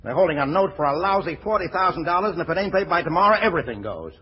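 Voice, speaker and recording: Streetwise mob boss, middle-aged, slangy, stammering, swooping pitch, muffled 1940s radio comedy, noisy. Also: male voice, animated, rapid-fire, slightly rough. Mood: agitated. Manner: stammering, slangy, ungrammatical tough-guy talk. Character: brash middle-aged ex-racketeer turned brewery boss, streetwise, wheedling.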